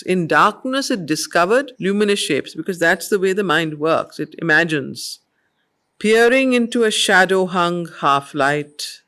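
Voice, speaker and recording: A middle-aged woman with an Indian accent, reading poetry and explaining it in a deliberate, emphatic, didactic manner as a study circle teacher. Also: low-pitched, a bit bright. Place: group study session.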